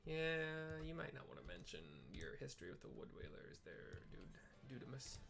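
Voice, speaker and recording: A man's voice: medium pitch, sing-song, normal speed, creaky, fairly bright tone, soft background music. A man casually narrating a game playthrough, describing what is on screen with offhand, muttered asides. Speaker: gaming video creator.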